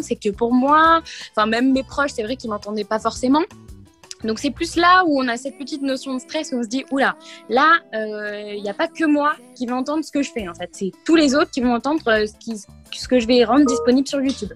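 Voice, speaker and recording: A woman's voice: fairly high pitch, moderate pace, fairly bright tone, faint music behind. A young woman from Limoges, a singer answering radio interview questions, hesitant with many fillers and little laughs. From France, Limoges. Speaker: singer guest.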